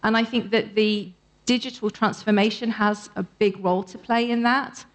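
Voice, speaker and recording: A woman speaking as an academic panellist at a conference, explaining her answers in a measured way. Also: medium pitch, fairly even intonation. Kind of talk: answering audience questions on a panel.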